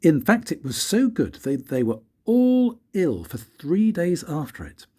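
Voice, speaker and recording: An older man reads a children's story like a grandfather, in an expressive, deliberate way with dramatic pauses and a husky voice. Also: fairly high pitch. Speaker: grandfather reading stories for his grandchildren.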